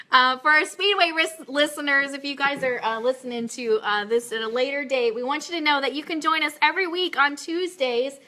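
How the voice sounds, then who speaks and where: A woman with a forceful, bright voice pitched on the high side hosts a live radio show. She is chatty and excitable, gushing as she moves casually between news items and talk with whoever is there. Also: normal speed.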